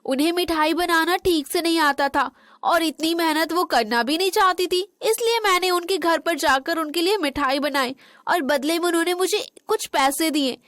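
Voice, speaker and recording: A woman voicing a gentle, dutiful young Indian daughter-in-law in an animated moral story. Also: extremely high pitch, normal speed, no echo, studio quality. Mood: earnest.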